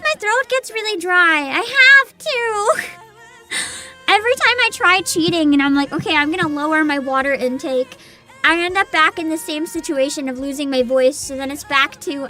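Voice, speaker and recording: A woman livestreamer chatting casually with her viewers in an animated, exclamatory way. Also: very high voice. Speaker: livestream host, VTuber. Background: music.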